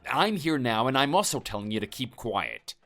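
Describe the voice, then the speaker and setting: A man's voice: medium pitch, very animated, normal speed, fairly bright tone. A man playing a stern, threatening husband who lectures and intimidates his neighbor. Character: stern, menacing husband defending his wife.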